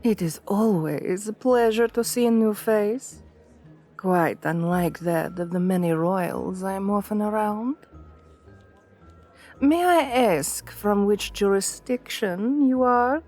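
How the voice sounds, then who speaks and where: A woman's voice: medium pitch, slow, a little mellow, faint music behind. A woman playing an assertive, seductive vampire queen in an ASMR roleplay, formal and courtly, coaxing the listener with veiled menace. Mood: gracious, probing.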